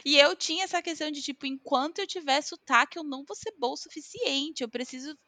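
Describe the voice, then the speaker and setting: Bright, animated Brazilian woman. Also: sits high, no echo. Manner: animated and expressive, quick outbursts. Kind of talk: casual chat.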